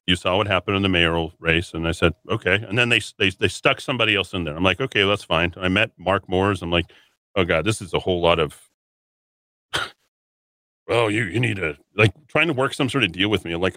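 A male radio host talks straight into a dry, close studio mic with a forceful, bright edge to his fairly low voice. He rambles and restarts his sentences as he argues, and he sniffles from a runny nose. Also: fairly quick, no room sound. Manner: combative, rambling, repeats words and restarts. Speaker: radio talk show host. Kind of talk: solo talk radio monologue.

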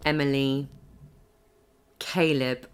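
A woman's voice: very deep voice, steady tone, extremely slow, smooth. A woman who works as a voice actor, talking casually in an interview. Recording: music behind the voice.

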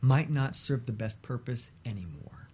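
A man speaking conversationally as a therapist guest on a talk show, with measured, reflective phrasing. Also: medium pitch, expressive, mellow, slight background noise. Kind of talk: conversational discussion of relationships.

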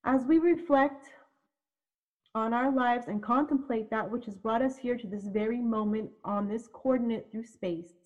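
A woman reciting a spoken-word piece in a measured, prayerful way. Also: a little high. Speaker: event speaker. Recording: dull lo-fi audio.

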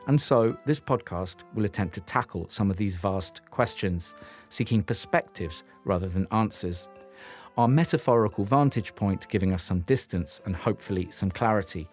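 A man hosting a podcast, reading scripted narration in a measured way, then interviewing a guest. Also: on the low side, a little mellow, soft background music. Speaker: podcast host.

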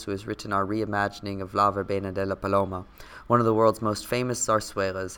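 A man hosting a podcast, hoarse from a cold, reading a scripted intro and then asking questions with many fillers. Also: on the low side, fairly bright tone. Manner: hesitant with many fillers when unscripted. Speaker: podcast host.

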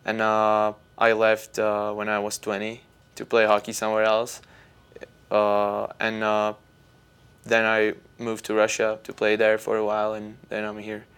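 Czech man, low and smooth-voiced. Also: completely flat delivery, fairly bright tone. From Czech Republic. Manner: halting, with many uh and like fillers. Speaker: guest hockey player. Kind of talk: casual podcast chat.

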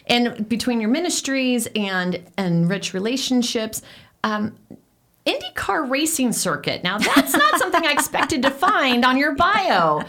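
A woman's voice: fairly high pitch, very animated, a little slow, pushed and bright. A woman hosting a casual interview show, upbeat and affirming, often repeating her approval. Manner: enthusiastic, affirming, lots of "I love it". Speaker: podcast host.

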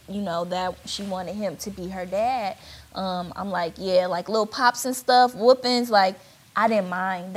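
A woman talks openly about discipline and her marriage in a plain conversational voice. She grows more animated and emphatic as she makes her points. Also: mid-range voice. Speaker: mother in a couple interview. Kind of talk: casual talk about parenting.

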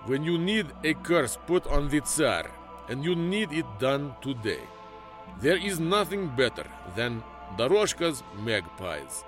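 A man playing a brisk, emphatic salesman announcer in a parody podcast sponsor spot. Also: on the high side, sing-song, over music, voice pushed up. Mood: mock-earnest selling. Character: brisk male ad announcer selling cursed magpies.

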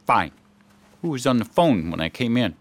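A man's voice: mid-range voice, sing-song, moderate pace. A man acting in a radio drama as an overworked, defensive, exasperated husband with a terse, irritated way of talking. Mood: curt, suspicious.

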